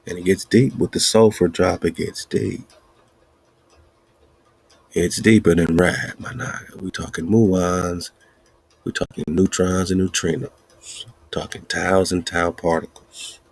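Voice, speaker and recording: A podcast host speaking in a slow, emphatic, repetitive preaching style with a husky voice. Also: male voice, deep voice, level tone, dry recording. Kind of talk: casual preaching-style monologue.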